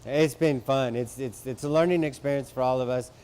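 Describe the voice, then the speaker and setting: A man gives interview answers in a mellow, dark-toned voice. It is very clean and smooth, at an average pitch. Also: brisk.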